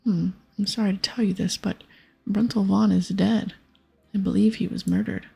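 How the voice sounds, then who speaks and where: Woman as polite, earnest game adventurer, very breathy and whispered, persuasive. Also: medium pitch, fairly even intonation, normal speed, dark tone, faint music behind, full character voice. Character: earnest adventurer investigating a crime.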